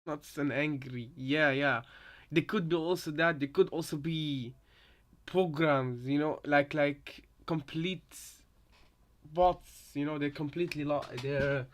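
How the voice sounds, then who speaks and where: A man's voice: a little high, fairly even intonation, takes it slowly. A man giving casual reaction commentary, hesitant and repeating himself as he thinks aloud. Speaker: reactor, fan theorist.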